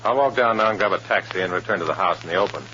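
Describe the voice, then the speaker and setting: Low-pitched, clipped American undercover man. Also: racing, sharp tone, slight background noise. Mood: businesslike. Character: wary, cool undercover agent posing as a communist. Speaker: lead actor and narrator. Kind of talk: old-time radio drama acting and narration. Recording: muffled old radio recording with music.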